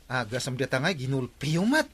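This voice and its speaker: An actor playing a chatty, nosy tricycle driver in a radio comedy drama, with an Ilocano accent. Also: male voice, medium pitch, big pitch swings, a little fast, fairly bright tone, a little hiss or hum.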